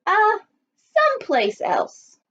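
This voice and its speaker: A woman's voice: extremely high pitch, wildly swooping pitch, takes it slowly, fairly bright tone, pitched above the performer's own voice, full character voice. Woman as a slyly innocent mama dinosaur. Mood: feigned innocence. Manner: theatrical, pointedly feigned innocence. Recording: dull lo-fi recording.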